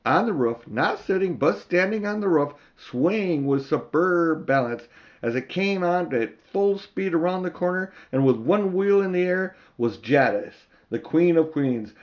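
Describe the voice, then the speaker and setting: An older man narrating a children's story as an amateur audiobook reader, with dramatic emphasis and drawn-out words. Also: on the high side.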